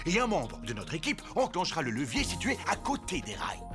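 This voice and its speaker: Un chef méchant de dessin animé, voix haute qui monte et descend sans cesse, ricanant. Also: male voice, on the high side, huge pitch swings, fairly quick, over music. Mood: scheming. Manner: cackling, theatrical. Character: scheming male villain leader, gleeful and theatrical. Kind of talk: French cartoon dub.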